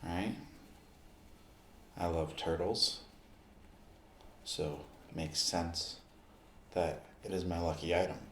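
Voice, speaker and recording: A man speaking in an ASMR roleplay, addressing the listener directly in short, unhurried phrases with pauses. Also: sits low, fairly even intonation, a bit bright, roomy sound, slight background noise. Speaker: ASMR performer playing a basketball recovery expert.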